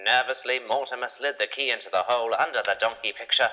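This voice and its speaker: A man's voice: medium pitch, fast, sharp tone. A man giving scripted, dramatic narration of a children's adventure story.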